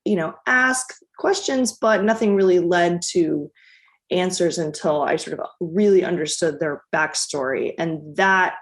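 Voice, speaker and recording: A woman's voice: on the low side, a little slow. A woman, a documentary director, answering interview questions in a reflective, casual way with many restarts and fillers. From United States.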